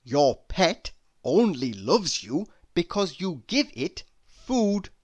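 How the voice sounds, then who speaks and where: A man reading humorous quotes aloud in a deliberate, mock-inspirational manner. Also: on the high side, sing-song. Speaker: reader.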